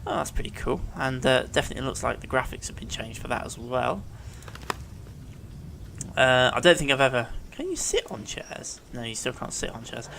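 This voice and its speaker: A man's voice: mid-range voice, sing-song, moderate pace, creaky, fairly bright tone, a little hiss or hum. A man with a cold and a sore throat, chatting and thinking aloud as he commentates his gameplay at home. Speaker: let's play commentator. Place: living room at home.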